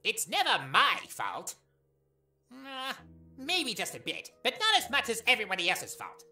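Piercingly bright, boastful skeleton, voiced by a woman. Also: a little high, wildly swooping pitch, moderate pace, soft background music, full character voice. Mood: self-important. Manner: emphatic, self-important. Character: loud, boastful, childlike male skeleton. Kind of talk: fan audio drama acting.

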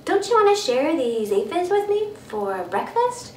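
A woman voicing a mild, friendly little female ladybug in a children's storybook, gentle and reluctant. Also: extremely high pitch, big pitch swings, a little slow, crowd noise, pitched above the performer's own voice, heavily acted. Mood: polite. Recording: roomy, distant mic, faint noise.

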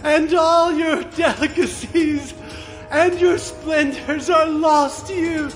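An anguished merchant in an audio Bible drama, lamenting lost riches. The cry is extremely high, slow and drawn out, over faint music. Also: male voice, steady tone, low-quality recording.

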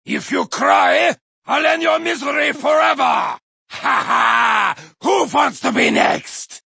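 A cackling mad Viking racer. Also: male voice, extremely high pitch, expressive, slow, dry recording, heavily acted. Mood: manic taunting. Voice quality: gravelly, raspy shouting with manic cackles. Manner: boastful, taunting battle cries, sudden drops to a menacing growl. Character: crazed Viking warrior racer, male, bloodthirsty and manic. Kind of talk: video game character voice lines.

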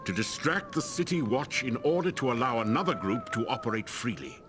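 A low-voiced, scholarly secret agent, formal and grave yet very expressive in pitch. He speaks as in a fantasy video game, with clear noise behind him.

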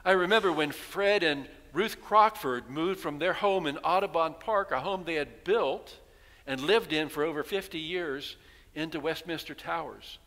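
A man's voice: on the high side, moderate pace, fairly bright tone. An older man in his sixties preaching a sermon from the pulpit, telling stories and asking his congregation rhetorical questions. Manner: storytelling preacher, rhetorical questions, voicing townspeople. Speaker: pastor. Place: large church sanctuary, at pulpit. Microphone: headset mic. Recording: long reverb of a church hall.

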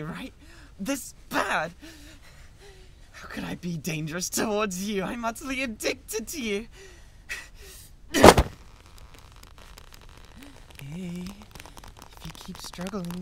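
Obsessive British yandere man, wildly swinging pitch, acted. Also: a little hiss or hum, exaggerated voice. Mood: pleading, possessive. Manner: possessive, menacing, swinging from tender coaxing to frenzy. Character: obsessive, unhinged young male yandere kidnapper in love. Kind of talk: dramatic ASMR roleplay acting.

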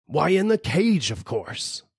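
Guy doing impatient gullible tiger, storybook, roary, husky, pitch jumps around. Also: big pitch swings, slow pace, no room sound, studio quality, heavily acted. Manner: roaring, impatient.

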